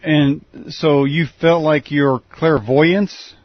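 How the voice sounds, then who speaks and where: A man with a husky voice casually interviewing as a show host, with short responses and questions. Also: on the high side, fairly even intonation, slow, no echo, highs cut off.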